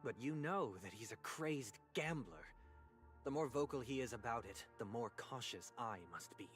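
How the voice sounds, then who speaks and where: A male actor playing a suave, suspicious interrogator in a video game, speaking in a measured, formal, probing way. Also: medium pitch, animated, a bit bright, lightly acted. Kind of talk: scripted video game dialogue. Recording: music behind the voice. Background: music.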